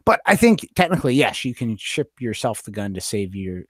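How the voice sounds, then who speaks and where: A man's voice: mid-range voice, big pitch swings, a little fast, breathy edge, no room sound, clean studio sound. A man with a Canadian accent co-hosting a casual podcast discussion, thinking aloud somewhat hesitantly. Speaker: podcast co-host.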